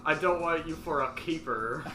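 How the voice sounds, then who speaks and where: A man's voice: a little high, normal speed, bright, forceful tone, echoey room, poor audio. Man doing a sarcastic teen gargoyle surfer dude. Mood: grumbling. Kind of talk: amateur comic table read.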